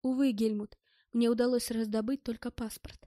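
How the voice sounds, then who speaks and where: Женщина читает реплики сдержанного, вежливого героя-мужчины из аудиокниги, говоря успокаивающе и чуть лукаво. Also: female voice, a little high, normal speed, dry recording, studio quality, close to an everyday voice. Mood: calm reassurance. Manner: restrained, polite, calming. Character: composed, reassuring male first-person hero, slyly polite.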